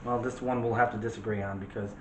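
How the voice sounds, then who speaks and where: A man's voice: on the low side, fairly even intonation, fast. A man co-hosting a casual, opinionated movie discussion, talking emphatically and straight to the viewers. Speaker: co-host of a movie discussion show. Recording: roomy echo with faint background noise.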